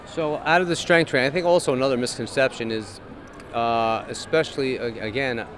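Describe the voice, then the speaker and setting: A man in his forties interviews a guest at a busy indoor expo, his voice upbeat and eager, at an ordinary middle pitch. He talks into a handheld microphone, restarting phrases now and then. A faint hum sits under the recording. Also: fairly quick, fairly bright tone. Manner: upbeat, enthusiastic, with many restarts. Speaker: host. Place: large indoor expo hall, in front of a backdrop.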